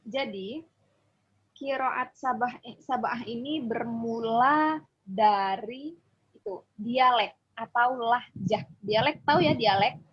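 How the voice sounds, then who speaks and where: A woman with a husky voice, teaching informally as a religious teacher and often checking that she is followed. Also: a little high, slow. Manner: conversational, often checking for agreement. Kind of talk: informal explanatory online lesson. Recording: dull lo-fi audio.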